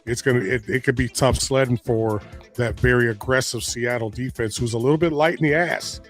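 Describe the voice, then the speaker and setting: A man's voice: medium pitch, a little fast, faint music behind. Congested man in casual sports talk. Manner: hesitant, repeats words while thinking. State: stuffy and congested from a cold. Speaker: podcast co-host.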